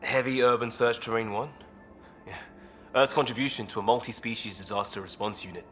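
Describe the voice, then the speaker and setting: A man's voice: mid-range voice, steady tone, fairly quick, a bit bright, soft background music, lightly acted. A man playing an easygoing, blunt former cop turned space rescuer in a video game, talking casually and candidly. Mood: nostalgic, easygoing. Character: easygoing, blunt ex-cop human crisis responder, male.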